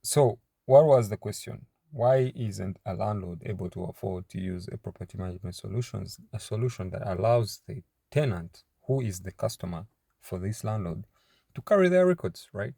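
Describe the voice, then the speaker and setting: A young man in his twenties from Uganda, a startup founder introducing himself and his work in a casual, halting way, with many fillers and restarts. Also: fairly low pitch, gravelly, warm tone, no echo, clean studio sound. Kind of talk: casual personal introduction to camera.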